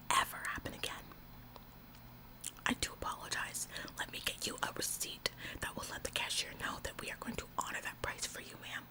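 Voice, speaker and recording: A woman's voice: close to an everyday voice, low-quality recording. A woman in a whispered ASMR roleplay, playing a bossy, officious store employee who repeats phrases for emphasis. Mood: gushing apology. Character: bossy, overzealous female retail store employee, falsely apologetic. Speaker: ASMR performer.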